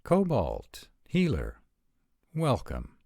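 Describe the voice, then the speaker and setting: A man playing an eager, awkward male dragon welcoming people in a fantasy audio roleplay, with excitable, informal phrasing. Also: medium pitch, very slow, gravelly, dry recording, clean studio sound, voice pushed up, heavily acted. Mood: cheerful, welcoming.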